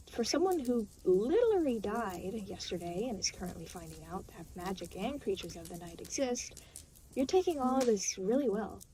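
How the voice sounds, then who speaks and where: A woman's voice: mid-range voice, dark tone, slight background noise, close to an everyday voice, poor audio. A woman playing an aloof, reluctant, weary demon guide in an intimate audio roleplay, speaking in a dry, matter-of-fact, hesitant way. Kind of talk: intimate scripted audio roleplay spoken to the listener.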